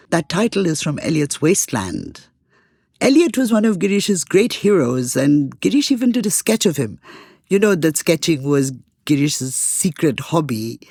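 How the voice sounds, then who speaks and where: A woman with a creaky voice, narrating as a podcast host in a scripted but conversational way. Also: sits low, a little mellow, no echo, clean studio sound.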